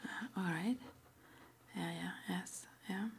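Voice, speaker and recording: A woman speaks in a soft, breathy whisper, fairly low with a creaky edge. She plays an interviewer in an ASMR roleplay, asking questions in an unhurried way with small murmured acknowledgements. There is a faint wash of noise behind the voice. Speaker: interviewer at a witch school.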